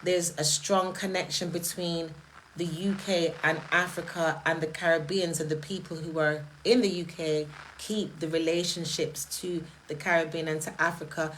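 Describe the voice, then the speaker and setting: A British-accented woman talks straight to her live-stream audience, her voice sitting a touch low. She is emphatic, leans on rhetorical questions and moves quickly from point to point in relaxed, conversational speech. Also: a little hiss or hum, rough recording. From United Kingdom. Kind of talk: casual live-stream talk, answering chat comments.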